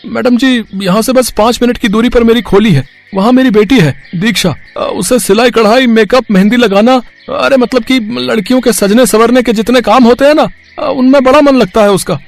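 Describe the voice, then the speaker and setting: Give this cartoon-story figure a very high, gravelly voice: a middle-aged male auto driver acted by a man. He is humble and deferential, hesitates a little, and reassures as he goes. Faint music plays behind him. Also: moderate pace. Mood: helpful, reassuring.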